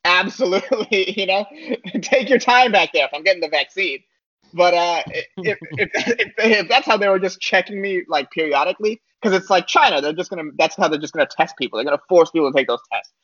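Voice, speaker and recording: A comedian with a high voice riffs sarcastically and breaks into laughs between punchlines. The recording is dry and a little dull, with no room sound. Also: male voice, lively intonation, a little fast, fairly bright tone, breathy edge, no echo. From United States. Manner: joking, laughs mid-sentence, sarcastic asides. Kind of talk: comedic podcast banter.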